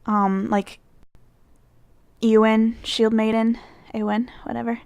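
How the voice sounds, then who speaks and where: A woman's voice: on the high side, flat delivery, takes it slowly, gravelly, darkish tone, smooth, dry recording. A young woman from Michigan with a Midwestern American accent, chatting casually through a fan questionnaire, rambling with lots of fillers and self-corrections. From Michigan, United States. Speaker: vlogger.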